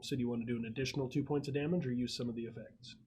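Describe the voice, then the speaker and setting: A man's voice: medium pitch, fairly even intonation, rapid-fire, a little mellow. A man acting as game master in a casual group game, explaining rules and narrating the action.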